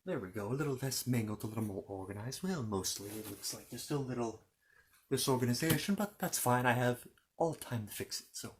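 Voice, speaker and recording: A man performs a courteous, fussy keeper of storybook histories in an ASMR roleplay. His mid-pitched voice rises and falls widely as he rambles, hesitates and repeats himself apologetically. Faint noise sits behind the voice. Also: animated, a little fast, natural voice. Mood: polite, flustered. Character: fussy, courteous Narnian bookkeeper recounting his world's history.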